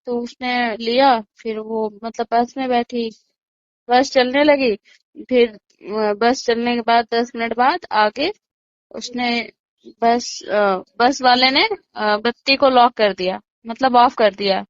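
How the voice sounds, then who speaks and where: Brief: female witness giving a casual account over a dull, dry phone line, voice on the high side. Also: fairly even intonation, slow, no room sound. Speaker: eyewitness interviewee on a phone call. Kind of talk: casual recounting of events. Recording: dull lo-fi phone call recording.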